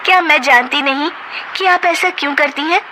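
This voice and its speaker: A feisty, sharp-tongued young wife , as a woman puts her on, her voice pitched high and bright. She talks back defiantly and in lively swings. Her tone is quick and cutting. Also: a little hiss or hum.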